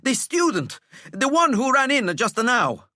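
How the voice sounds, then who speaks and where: A man doing a panting, shrill teenage prefect. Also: very animated, takes it slowly, no echo, clean studio sound, heavily acted. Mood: breathless urgent. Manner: high, strained, out-of-breath shouting. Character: panicky breathless teenage boy prefect.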